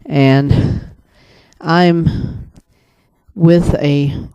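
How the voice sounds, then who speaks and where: A man casually recounting a dream in the first person, hesitant and rambling, often pausing mid-sentence. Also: on the high side, fairly even intonation, warm tone, smooth, no echo, lo-fi sound.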